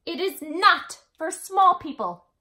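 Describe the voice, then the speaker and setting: Storybook older brother played by a woman: young boy, possessive, indignant, bossy, swooping pitch. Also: expressive, slow, bright, forceful tone, full character voice.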